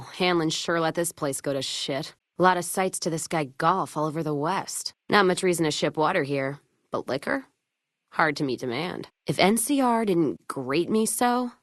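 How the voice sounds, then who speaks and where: An American woman playing a jaded, hard-drinking, foul-mouthed caravan trader in a video game, with a gruff, sardonic, folksy manner. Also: low-pitched, no echo, clean studio sound, close to an everyday voice. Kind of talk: video game companion voice lines.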